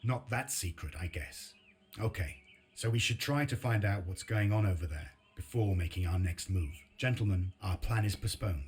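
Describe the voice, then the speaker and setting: A man's voice: deep voice, normal speed, close to an everyday voice. A man playing a weary, battle-hardened middle-aged resistance leader in a video game, terse and dryly sardonic. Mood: commanding, dry. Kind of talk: video game cutscene acting and narration. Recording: very noisy, engine and ambience behind.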